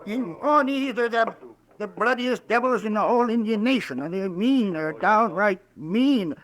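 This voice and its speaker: An actor playing a rambling, excitable old frontier man in a 1950s radio drama, stammering and frantic. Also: high voice, normal speed.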